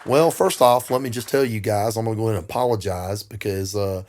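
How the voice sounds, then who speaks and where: A middle-aged man co-hosting a casual comedy podcast, hoarse and gravelly from a cold, joking in a self-deprecating, mock-dramatic way. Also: lowish voice, fast, darkish tone. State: hoarse, getting over a cold. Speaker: podcast co-host.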